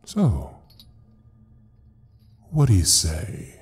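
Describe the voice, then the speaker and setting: An actor playing a suave, menacing devil in an audio roleplay, speaking in a dark velvety voice with courtly, teasing deliberation. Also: male voice, low-pitched, wildly swooping pitch, extremely slow, heavily acted. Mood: cold threat. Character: suave, menacing male devil ruling a frozen hell. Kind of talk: ASMR audio roleplay acting.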